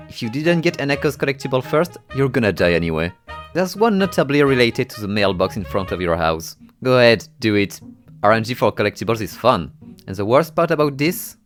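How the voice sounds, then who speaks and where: A man narrating a horror game playthrough from a script, moving between dramatic storytelling and wry, exasperated asides. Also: fairly low pitch. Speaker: video game reviewer and narrator.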